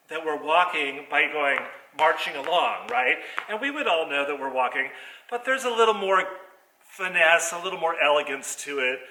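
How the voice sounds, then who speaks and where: A man's voice: a little high, pushed and bright. A man giving a hands-on theatre lesson, explaining movements step by step. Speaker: theatre teacher. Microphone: distant computer microphone on a video call. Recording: echoey theater, voice far from microphone.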